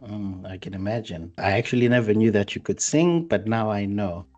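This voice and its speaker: A man hosting a studio discussion, asking measured questions and affirming his guests. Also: on the low side, a little mellow, muffled recording, soft background music. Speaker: host.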